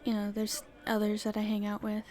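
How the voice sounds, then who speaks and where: A woman playing a cheeky young street thief in an ASMR roleplay, chatting casually and haltingly to the listener. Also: mid-range voice, completely flat delivery, a little mellow, lightly acted. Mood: hesitant, evasive. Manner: casual, halting speech with hesitations.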